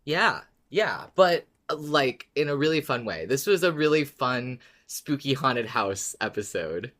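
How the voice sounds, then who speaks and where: Man, podcast co-host, middle pitch, casual conversational recap style. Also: very animated, unhurried, a bit bright.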